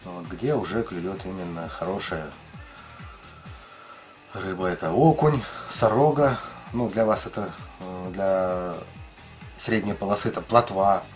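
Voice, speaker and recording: Deep-voiced man, middle-aged, rambling, casual voice-over, faint music. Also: expressive, unhurried, long pauses. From lived in Ukraine, now in the Russian far north. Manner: rambling, many fillers and restarts. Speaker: ice fishing vlogger.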